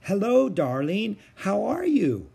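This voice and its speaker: Guy doing a fond amused aunt lady, slow, a bit raspy. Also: high voice, huge pitch swings, dark tone, voice pushed up, full character voice. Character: affectionate amused adult aunt, a woman.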